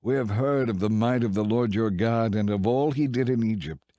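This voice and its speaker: Casting: male actor as sly ancient envoy posing as weary traveler, humble, pleading, deepish, dry biblical audio drama. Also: moderate pace, warm tone, no room sound, close to an everyday voice. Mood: deferential. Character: Gibeonite envoys, deceitful humble travelers seeking a treaty.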